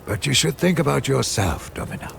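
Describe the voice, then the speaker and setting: An actor playing a wise, wry and devoted servant of a Roman noble house, measured and courteous, in a game. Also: male voice, mid-range voice, lively intonation, gravelly, music in the background. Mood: advising. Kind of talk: scripted game dialogue.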